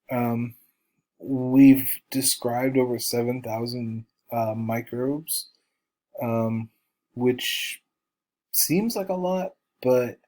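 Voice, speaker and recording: A man with a stuffy nose from allergies, slowly lecturing biology students over slides with many ums and uhs. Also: medium pitch, dark tone. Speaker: college biology instructor.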